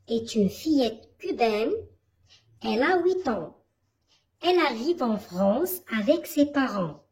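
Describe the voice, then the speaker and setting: Female teacher, high animated voice, measured pace, leads kids through a text. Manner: slow, questioning, didactic. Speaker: primary school teacher. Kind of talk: reading lesson for children.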